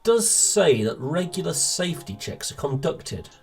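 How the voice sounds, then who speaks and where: A woman acts a bookish, mild-mannered father in an audio drama. The voice is low for her and varied in pitch, dryly sardonic, with faint music. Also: a little slow, exaggerated voice. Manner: deadpan, sardonic. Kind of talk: amateur audio drama acting.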